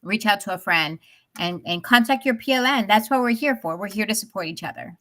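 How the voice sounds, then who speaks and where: A woman's voice: mid-range voice, animated, brisk, bright, forceful tone. A woman co-hosting a panel talk show in a chatty, earnest, encouraging and emphatic way.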